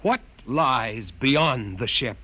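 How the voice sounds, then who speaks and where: An actor playing a bold, impetuous young man who questions and challenges forcefully, in 1950s radio drama style. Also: medium pitch, expressive, extremely slow, dull audio, heavily acted. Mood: defiant, angry. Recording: echoing, hissy vintage radio broadcast, band-limited.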